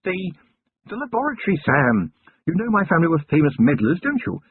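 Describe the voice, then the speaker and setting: Man doing a poised upper-class wife with lively, lilting speech, husky voice, thin phone-like sound. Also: a little high, brisk, a little hiss or hum, pitched above the performer's own voice. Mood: bossy. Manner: poised, gently bossy. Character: refined aristocratic wife, gently commanding woman.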